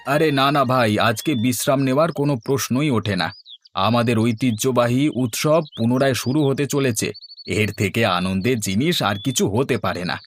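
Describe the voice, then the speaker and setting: A man voicing a warm-hearted, eager village man in a cartoon, with an emphatic, exclamatory delivery. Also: medium pitch, moderate pace, natural voice. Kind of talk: Bengali cartoon voice acting. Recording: music playing behind the voice.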